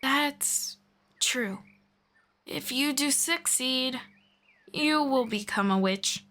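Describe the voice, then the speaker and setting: A gentle, ageless elf woman, voiced by a woman in an ASMR roleplay, patient and kind as she teaches. Her voice sits a little high and unhurried, each phrase drawn out slowly. Now and then it sinks into a hushed whisper. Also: exaggerated voice. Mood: gentle, soothing. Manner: soft-spoken, slow and patient, drifting into whispers. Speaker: voice actor.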